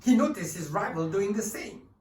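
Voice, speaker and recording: A man telling a dramatised story for children, expressive and speaking directly to his listeners. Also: high-pitched, moderate pace. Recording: roomy, distant mic with faint music.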